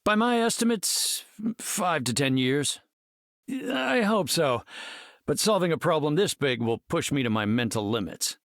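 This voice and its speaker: An actor playing a brainy, confident scientist hero in a video game, speaking in an articulate, measured and slightly formal way. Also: male voice, a little high, very animated, a bit bright, no echo, clean studio sound, exaggerated voice. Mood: thoughtful, earnest. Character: brainy, confident, earnest male scientist superhero leader.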